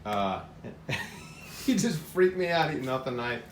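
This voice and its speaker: A man's voice: mid-range voice, very animated, unhurried. A man hosting a casual on-camera chat, talking in a chatty, enthusiastic way. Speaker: host. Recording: roomy echo, background noise.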